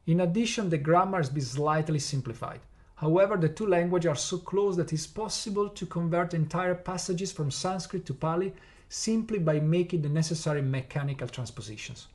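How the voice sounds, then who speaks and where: A man's voice: on the high side, darkish tone. A man with an Italian accent, Italian his first language, hosting a podcast lecture and explaining step by step with hesitant fillers. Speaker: podcast host.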